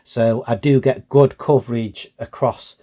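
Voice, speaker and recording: A man's voice: mid-range voice, fairly even intonation, a little slow, dark tone, lo-fi sound. A British man giving a casual, plain-spoken explanation as a hobbyist presenter.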